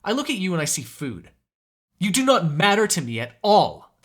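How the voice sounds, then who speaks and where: A man's voice: medium pitch, sing-song, normal speed, a bit bright, slightly rough, exaggerated voice. A man voicing a hungry, cranky, flirtatious male vampire in an ASMR audio roleplay, sarcastic and given to swearing. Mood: angry, cranky. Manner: sarcastic, swearing, moody, shifting from murmurs to exasperated outbursts.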